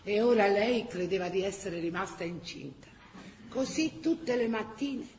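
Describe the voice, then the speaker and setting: A woman's voice: mid-range voice, expressive, a little slow, a little mellow, a little hiss or hum. An actress playing a Florentine woman who recounts neighbourhood tales in a colloquial, theatrical way. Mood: animated. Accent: Florentine Tuscan. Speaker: actress-narrator. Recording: roomy stage echo.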